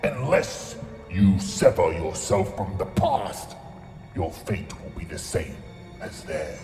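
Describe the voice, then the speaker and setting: A man's voice: low-pitched, huge pitch swings, full character voice. A man playing an ancient, menacing alien Sith master in an audio drama, scornful and commanding in manner. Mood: ominous, commanding. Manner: slow, ominous, commanding. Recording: echoey hall, loud music underneath.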